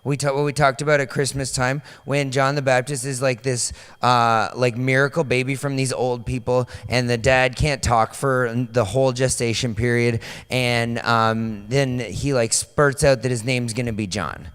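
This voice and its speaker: A young man preaching a loose, chatty sermon on a church stage into a handheld mic. His voice is partly worn out and slightly hoarse, and he uses many fillers and restarts. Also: mid-range voice, fairly even intonation, normal speed, few pauses, a bit bright. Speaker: pastor. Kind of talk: casual sermon. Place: large dark stage in a church hall. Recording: long hall reverb.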